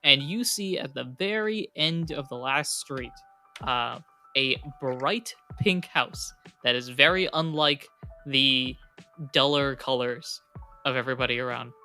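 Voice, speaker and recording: A man's voice: mid-range voice, unhurried, bright, forceful tone, faint music behind. A young man narrating a tabletop game as game master, in a casual style with many fillers.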